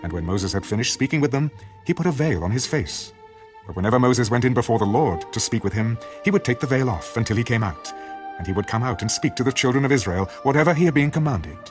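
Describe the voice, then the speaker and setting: A man's voice: medium pitch, expressive. Man narrating scripture over music. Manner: measured, solemn.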